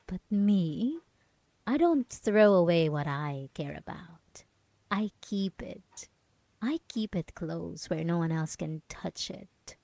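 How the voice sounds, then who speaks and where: Woman doing a creepy possessive yandere roommate girl, super expressive pitch, drawn out teasing, condescending, asmr roleplay. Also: no echo, heavily acted. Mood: gleeful menace, then possessive, wounded. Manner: teasing, condescending, lingering on words. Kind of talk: dramatic ASMR roleplay monologue.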